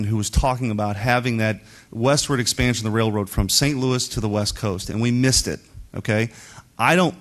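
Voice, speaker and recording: A male state senator speaks off the cuff in committee. His pitch is average, and he argues emphatically, punctuating points with rhetorical questions and short tags. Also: fairly bright tone. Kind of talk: impromptu political speech in committee.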